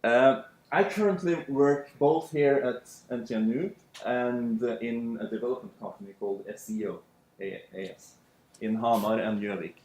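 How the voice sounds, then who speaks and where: A man's voice: medium pitch, darkish tone. A man with a Norwegian accent leading a seminar, talking loosely with many fillers. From Norway. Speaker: lecturer. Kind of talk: seminar introduction. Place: lecture room. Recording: echoey classroom.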